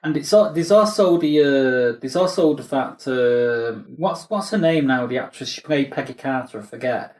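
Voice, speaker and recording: British man, echoey and halting. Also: fairly high pitch, expressive, a little mellow. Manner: halting, repeats words and phrases. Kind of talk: casual fan chat. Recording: echoey room sound.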